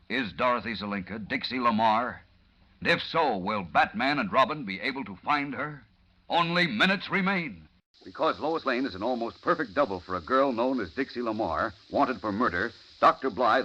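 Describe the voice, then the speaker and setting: A theatrical serial announcer from 1940s radio. He narrates urgently in a mid-pitched, husky voice. The sound is muffled. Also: bright, forceful tone. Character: dramatic radio serial announcer recapping suspense. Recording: muffled old radio recording.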